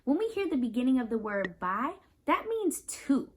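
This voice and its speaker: Animated, high-voiced woman teaching young children. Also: normal speed, a little hiss or hum. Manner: animated, instructive, addresses listeners with questions. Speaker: host reading a picture book aloud to children. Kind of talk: children's read-aloud with teaching asides.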